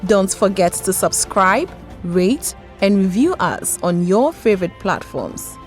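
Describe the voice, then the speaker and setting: Woman, scripted outro, animated pitch, upbeat, faint music behind. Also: takes it slowly. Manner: upbeat, lively read. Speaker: podcast outro announcer.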